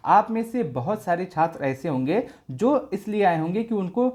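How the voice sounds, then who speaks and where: A man presents an informational explainer for exam candidates. He works through each point in order as a video host. He often restates what he has just said so that it is clear. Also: high-pitched, animated, normal speed, darkish tone.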